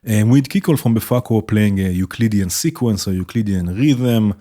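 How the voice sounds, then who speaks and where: A man's voice: on the low side, normal speed. A man hosting a modular synth tutorial, explaining each step casually and often checking in with "right?". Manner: relaxed, explaining while patching, frequent "right?" checks. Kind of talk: casual demo narration. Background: synth music playing.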